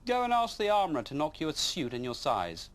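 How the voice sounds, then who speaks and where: A haughty storybook king, as a male reader puts him on, high and wildly swooping. He scoffs and draws his words out, amused by anyone he thinks foolish. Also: huge pitch swings, a little fast. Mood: mocking, amused.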